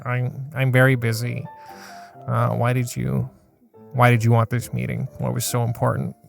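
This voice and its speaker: An irritable, self-important male president played by a man in an improvised audio comedy. He is terse and dismissive, with a very even, fry-laden voice over faint music. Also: medium pitch, normal speed. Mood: busy, awkward.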